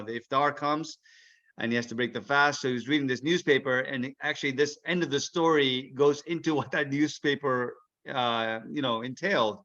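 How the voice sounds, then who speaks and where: A man's voice: medium pitch, bright, forceful tone, dull audio. A man, a guest children's author, informally explaining things to a young audience over a video call.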